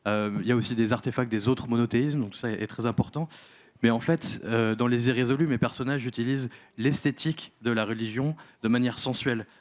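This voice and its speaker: A man interpreting an author's answer at a panel, measured with hesitations. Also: medium pitch, steady tone. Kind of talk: consecutive interpreting.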